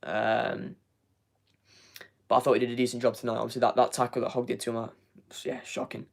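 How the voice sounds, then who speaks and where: A man's voice: medium pitch, completely flat delivery, fairly quick, vocal fry. A young man hosting a live football fan review, losing his voice, rambling with repeated words and many fillers. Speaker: fan channel host. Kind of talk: live match review, reading chat.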